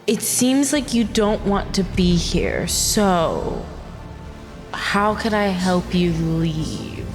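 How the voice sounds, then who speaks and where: A woman's voice: fairly low pitch, very slow, music in the background. A woman playing a tabletop game, chatting casually in playful, exclamatory bursts.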